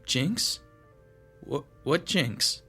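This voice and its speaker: Bright, joking young college guy. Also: fairly high pitch, animated, extremely slow, slightly rough, faint music behind, heavily acted. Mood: puzzled. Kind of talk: naturalistic radio drama acting.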